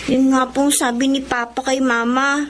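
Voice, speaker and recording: Respectful young Filipino girl, markedly high register, anxious plaintive delivery, radio drama with slight background noise. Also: level tone, measured pace. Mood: worried, polite. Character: polite, worried young niece, a child. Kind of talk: Tagalog radio drama acting.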